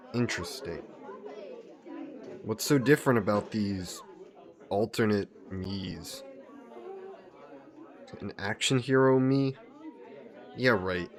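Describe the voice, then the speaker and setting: A man playing a soft yandere god who poses as a childhood friend, gentle, coaxing and evasive in an intimate roleplay audio drama. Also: on the low side. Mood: secretive, wry, then casual, skeptical.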